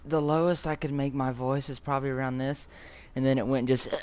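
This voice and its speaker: A man's voice: mid-range voice, level tone, a little fast, a little mellow, slight background noise. A fourteen-year-old boy chatting casually with viewers while playing a farming game, rambling and repeating words as he starts sentences. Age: teens. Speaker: gaming video host. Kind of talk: casual gameplay chat with viewers.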